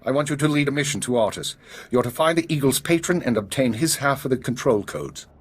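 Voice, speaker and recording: An actor playing a guarded intelligence chief in a video game, measured, formal and authoritative. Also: male voice, medium pitch, expressive, slightly rough. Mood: commanding. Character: grave, guarded male intelligence spymaster. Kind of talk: video game drama acting.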